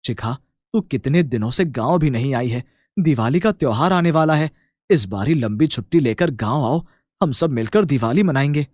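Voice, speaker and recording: A man's voice: medium pitch, lively intonation, normal speed, a little mellow, dry recording, clean studio sound, lightly acted. A man playing a humble village farmer and caring elder brother, earnest, fretful and affectionate, in a storybook tale. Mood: coaxing.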